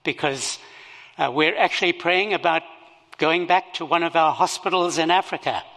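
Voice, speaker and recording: An older man with a Zimbabwean accent, speaking as a missionary at a church service, slow and halting with many fillers. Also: on the high side, bright, forceful tone, long reverb tail, poor audio. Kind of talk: sermon.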